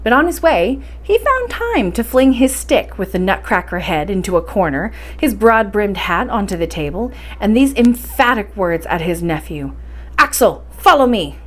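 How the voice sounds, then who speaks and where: A woman reads and presents stories for a library bedtime session. Her pitch moves around in a lively way, and her delivery is expressive and encouraging. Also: normal speed, hardly any pauses. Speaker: library storytime reader. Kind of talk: bedtime story reading and introduction.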